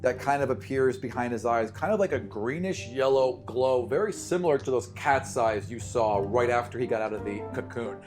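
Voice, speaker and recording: A man's voice: medium pitch, lively intonation, moderate pace, hardly any pauses, soft background music. A man narrating a tabletop game as game master, descriptive and conversational with dramatic storytelling.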